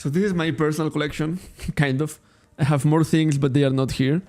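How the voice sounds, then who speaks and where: A man's voice: on the high side, fairly even intonation, fairly quick, a little mellow. A man from Spain, a native Catalan speaker with a Catalan accent in English, chatting casually on a card shop livestream, rambling, correcting himself and laughing often. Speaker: trading card shop streamer.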